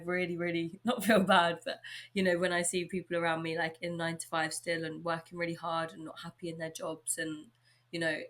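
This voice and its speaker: Young english girl podcasting, bit husky from a sore throat, lowish voice, lots of likes and you knows. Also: a bit bright. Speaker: podcast host. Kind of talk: casual solo podcast chat.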